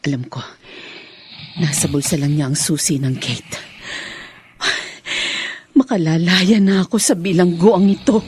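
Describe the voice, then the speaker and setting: A woman's voice: lowish voice, a little slow, pauses often, a little mellow, close to an everyday voice. A woman acting a desperate captive in a Filipino radio drama, theatrical and exasperated, talking to herself and pleading. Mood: scheming.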